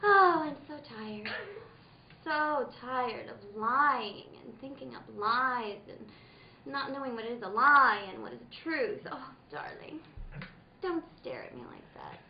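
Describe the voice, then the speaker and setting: A woman's voice: sits high, lively intonation, a little slow. A woman playing a seductive, lying femme fatale in a noir radio play, with theatrical, pleading delivery. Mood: anguished. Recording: dull roomy recording with background noise.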